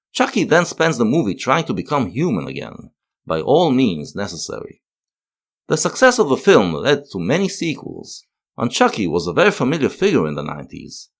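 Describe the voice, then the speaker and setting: A man narrating a scripted analytical video essay. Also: medium pitch.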